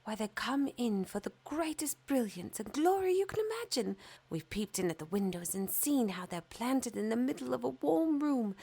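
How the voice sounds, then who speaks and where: A woman's voice: a little high, gravelly, slightly rough, pitched above the performer's own voice, exaggerated voice. A woman voicing a flock of twittering, gossipy grey sparrows in a storybook reading. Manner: twittering, excited.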